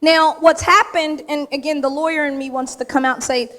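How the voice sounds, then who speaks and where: High, slightly raspy woman speaking straight. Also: steady tone, fairly quick, a bit bright. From United States. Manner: straight-talking, emphatic, punchy short phrases. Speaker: award recipient giving an acceptance speech. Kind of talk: acceptance speech to a live audience. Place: large event room with audience.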